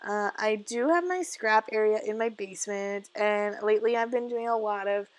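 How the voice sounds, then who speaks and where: A young woman from Canada with a husky, croaky voice, casually narrating her scrapbooking in a home basement, full of "uh" fillers and soft laughs. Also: mid-range voice, normal speed, dry recording. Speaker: scrapbooking vlogger. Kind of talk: casual voice-over narration of crafting. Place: home basement craft area.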